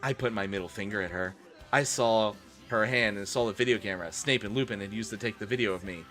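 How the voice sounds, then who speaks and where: A man's voice: on the low side, quick pace, pushed and bright. A man giving a comic dramatic reading for a live stream, theatrical and often breaking off into amused asides. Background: music.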